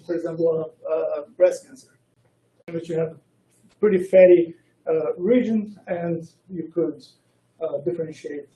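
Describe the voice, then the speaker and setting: A man giving an academic science talk as a researcher, speaking in a hesitant, halting way. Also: sits high, warm tone. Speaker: researcher presenting a colloquium. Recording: roomy echo, distant microphone.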